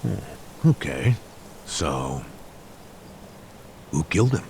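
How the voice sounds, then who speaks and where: An older man with an American accent playing a wry, nosy, unhurried detective who needles people, in a video game. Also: extremely low pitch, very animated, creaky, darkish tone, a little hiss or hum, full character voice. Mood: skeptical, mocking. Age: older, near retirement. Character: needling, unhurried old male detective tailing an ex-con. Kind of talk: English video game dub acting.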